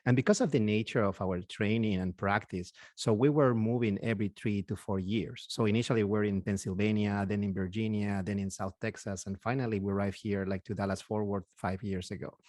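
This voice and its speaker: A man from Peru with a Peruvian Spanish accent, in his forties, chatting as an interview guest, halting and repeating words, laughing often. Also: fairly low pitch, steady tone, darkish tone, dry recording, clean studio sound. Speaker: guest, physician and investor. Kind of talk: casual interview chat.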